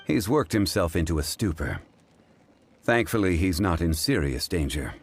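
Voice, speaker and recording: An actor playing a calm, wise man in a video game, speaking formally and deliberately. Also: deep voice, noisy background. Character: ancient composed man, wise and measured.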